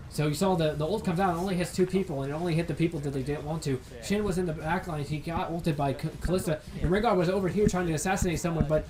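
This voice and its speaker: Raspy young man casting esports play-by-play. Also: on the high side, fast talker, rough recording. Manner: frequent restarts and stumbles, rising to excited shouting in fights. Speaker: esports caster. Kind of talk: solo play-by-play shoutcast.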